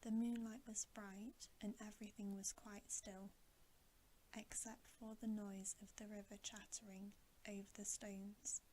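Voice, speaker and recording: A woman reads a bedtime story in a gentle ASMR whisper, slow and even in delivery. Her soft, mellow sound keeps to a narrow range of pitch, with faint noise behind it. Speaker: ASMR channel host reading a bedtime story.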